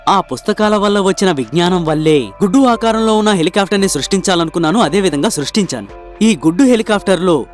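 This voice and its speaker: High-voiced, earnest young man acted by a man. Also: animated, fast, faint music behind. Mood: earnest, respectful. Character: earnest, hopeful young man, a self-taught inventor. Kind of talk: dramatised cartoon moral story dialogue.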